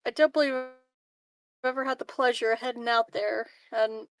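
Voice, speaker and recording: A woman's voice: on the high side, fairly even intonation, normal speed. A woman playing a tabletop roleplaying game, improvising conversation in a hesitant, politely wry manner.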